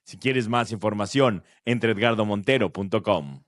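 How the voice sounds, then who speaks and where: A man playing an opinionated writer who speaks in a measured way in scripted educational audio fiction. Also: lowish voice, natural voice.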